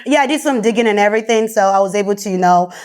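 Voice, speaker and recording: A woman in her thirties from Nigeria with a Nigerian accent, a salon owner telling her story in a relaxed, chatty interview full of fillers. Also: mid-range voice, racing. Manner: relaxed, chatty, many fillers, quotes others. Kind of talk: casual interview.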